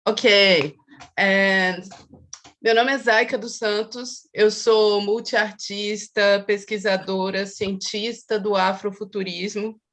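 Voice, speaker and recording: Brazilian woman panelist on a video call, mid-pitched voice, talks in fits and starts. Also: steady tone, a bit bright. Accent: Brazilian Portuguese. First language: Portuguese. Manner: halting in English, fluent in Portuguese. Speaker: panelist, artist and researcher. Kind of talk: self-introduction on an online panel.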